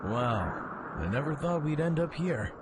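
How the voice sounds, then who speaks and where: Male actor as brash young mech pilot, higher-pitched, very animated, exclamatory, radio-play style. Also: measured pace. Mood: breezy, casual. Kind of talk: fan radio-play acting. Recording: clearly noisy background ambience.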